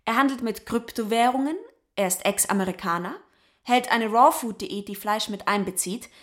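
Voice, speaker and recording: A woman's voice: fairly high pitch, normal speed, no room sound, studio quality. A woman delivering scripted narration as the narrator of a German radio drama. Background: music.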